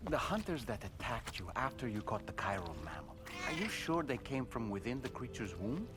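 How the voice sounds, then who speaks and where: Man acting an inquisitive, formal, expressive investigator. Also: medium pitch, close to an everyday voice. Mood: curious. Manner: polite, formal, deliberate. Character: inquisitive, analytical man probing a strange mystery. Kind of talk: video game cutscene acting. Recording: music playing behind the voice.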